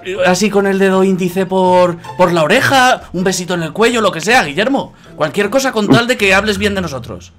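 A man with a Spanish accent speaks in a distinctly high voice. He coaxes and insinuates as a prank caller, with faint music behind him. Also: animated, a little fast, fairly bright tone. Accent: Spain. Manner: coaxing, insinuating. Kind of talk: phone prank performed on a livestream.

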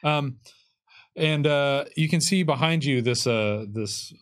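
A man's voice: mid-range voice, slow. Casual man running a tabletop game. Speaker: game master. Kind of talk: narrating a tabletop roleplaying game. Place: indoor streaming studio with curtain backdrop. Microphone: desk microphone.

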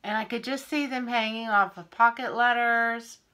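A middle-aged woman from Pennsylvania, a little wheezy and often clearing her throat, chatting through a craft haul on camera in her home kitchen. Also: fairly high pitch, fairly even intonation, bright, forceful tone. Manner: chatty, unhurried, friendly show-and-tell. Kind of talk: casual haul video. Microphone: camera in front at arm's length.

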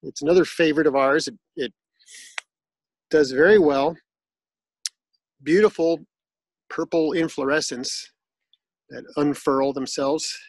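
Man, fairly high voice, casual garden tour, outdoors, dry close phone sound. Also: a little slow, long pauses, dry recording. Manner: relaxed, informative, some fillers and restarts. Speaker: garden owner giving a tour.

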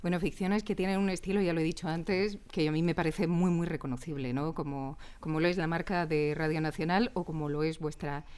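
A woman's voice: low voice, steady tone, brisk, few pauses, darkish tone, smooth. A woman with a Castilian Spanish accent hosting an interview in an enthusiastic style, in a radio studio.

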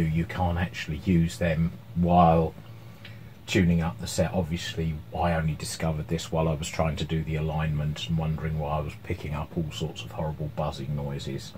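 A man's voice: a bass voice, monotone, moderate pace, a little mellow, rough recording. A middle-aged man giving an informal, hesitant workbench demonstration, thinking aloud as he goes. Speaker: electronics hobbyist presenting his repair. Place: small cluttered indoor workshop at home. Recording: clear background noise, room ambience.

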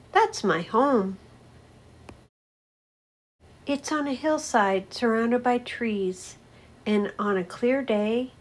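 Weary traveling storybook animal, played by a woman, gentle, polite, hesitant, slightly husky. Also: on the high side, voice pushed up. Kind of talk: storybook read-aloud character voice.

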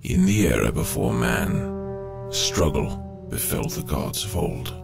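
A man performing a solemn, grave storyteller of gods and creation in an epic fantasy audio drama, measured and portentous. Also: sits low, big pitch swings, vocal fry, full character voice. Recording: loud orchestral music behind.

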